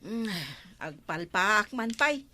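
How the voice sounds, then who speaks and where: A woman's voice: mid-range voice, lively intonation, slow, sharp tone, no room sound. A woman with an Ilocano accent playing a nosy, chatty wife in a comedy drama. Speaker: gossiping wife and mother.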